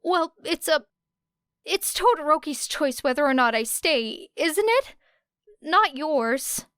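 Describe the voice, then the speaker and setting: A woman's voice: sits high, animated, slow, fairly bright tone, no room sound, clean studio sound, voice pushed up, full character voice. A woman voicing an earnest, hesitant teenage boy who stammers in an audiobook story. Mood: nervous, earnest.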